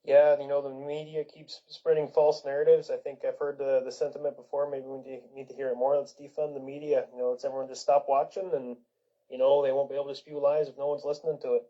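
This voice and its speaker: A man calls in and talks fast and flatly. Clicks and a cash register sound clearly behind him on a dull, lo-fi line. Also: mid-range voice, background noise. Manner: quick and monotone. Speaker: caller.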